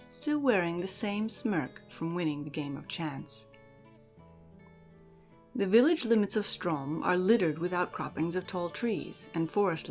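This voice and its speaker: A woman giving a measured, theatrical reading as narrator of a fantasy audio drama. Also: on the low side. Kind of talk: dramatic second-person fantasy story narration.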